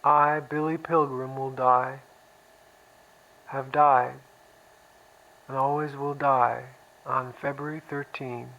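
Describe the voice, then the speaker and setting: An actor playing a resigned time traveller solemnly dictating a testament onto tape in a radio drama. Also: male voice, mid-range voice, gravelly, highs cut off. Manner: flat, solemn, deliberate. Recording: noisy tape recording with clicks.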